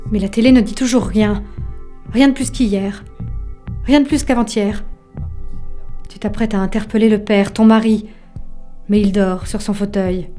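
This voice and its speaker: A woman's voice: medium pitch, steady tone, normal speed, long pauses, mellow, soft background music, natural voice. A woman performing as an intimate storyteller, narrating an audio drama in a literary second-person style. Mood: anxious, hushed. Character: intimate storyteller addressing an anxious housewife.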